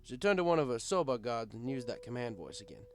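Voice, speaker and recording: Male narrator, conversational, wry, first-person bartender storytelling, guitar music clearly behind. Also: mid-range voice, lively intonation, fast talker.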